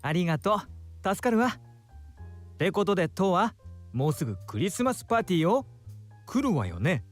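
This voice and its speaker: An effeminate, kindly young friend in a visual novel. The delivery is animated and lilting, with quick, expressive phrasing over faint music. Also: male voice, high-pitched. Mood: friendly, concerned. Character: Ray, effeminate, friendly, caring young man.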